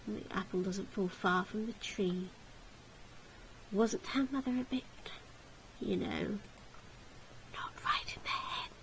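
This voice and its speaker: A woman voicing a disdainful gossiping townsperson in a gothic visual novel, hushed and insinuating. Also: mid-range voice, slow, long pauses, in a whisper, rough recording. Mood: snide, hushed.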